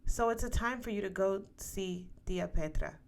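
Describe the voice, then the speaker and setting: Woman as careful mother, gentle, hesitant, measured, storybook dialogue. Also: medium pitch, creaky, close to an everyday voice. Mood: cautious, gentle. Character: gentle, cautious mother of a teenage daughter.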